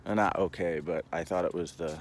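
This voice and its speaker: A man talking in a casual, rambling monologue, halting but earnest and opinionated, as a vlogger. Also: low voice, fairly even intonation, slight background noise.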